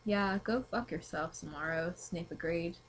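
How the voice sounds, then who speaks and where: A woman's voice: lowish voice, normal speed, over a noisy crowd. A young woman giving a playful, giggly comic reading with casual banter, recorded with other voices behind her. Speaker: amateur co-reader.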